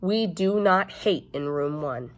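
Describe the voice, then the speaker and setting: A woman's voice: lowish voice, expressive. A woman voicing a patient, firm, kindly male schoolteacher in a storybook, speaking in an instructive way. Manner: measured, instructive.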